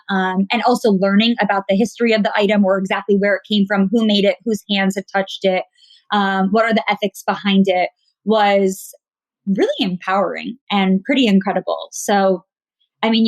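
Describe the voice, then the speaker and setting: A woman's voice: medium pitch, no echo, poor audio. A woman stylist talking enthusiastically as a guest in a conversational interview.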